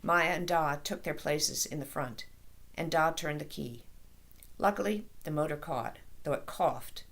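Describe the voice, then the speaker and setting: A woman author reading a children's story aloud in an expressive storytelling style. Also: low-pitched, measured pace, creaky.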